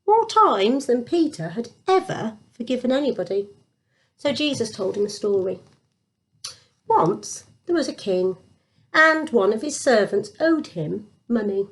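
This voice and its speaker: A woman reading a scripted Bible story for children, with deliberate emphasis. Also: fairly high pitch.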